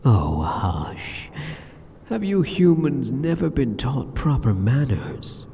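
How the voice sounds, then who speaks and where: A man playing a sadistic, arrogant demon king in a scripted ASMR roleplay, speaking straight to the listener in a taunting, condescending, theatrical manner with drawn-out phrases. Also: medium pitch, very animated, creaky, dark tone, slight background noise, heavily acted. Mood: stern, scornful. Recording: long cavernous hall reverb.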